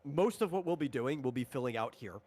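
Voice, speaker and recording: A man's voice: mid-range voice, expressive, brisk, a little mellow, husky. A man talking casually on a livestream as a tabletop game designer, explaining rules in a rambling, informal way.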